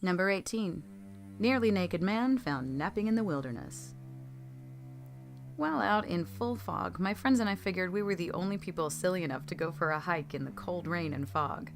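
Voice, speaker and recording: A woman reading creepy first-person stories aloud as a narrator, in scripted storytelling style. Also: lowish voice. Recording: clearly noisy background.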